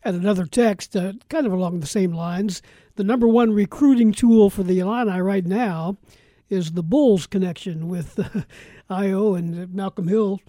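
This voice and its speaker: Mellow American man hosting sports radio. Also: sits high, moderate pace, no room sound, clean studio sound. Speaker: radio sports talk host. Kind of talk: conversational live radio hosting. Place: radio studio.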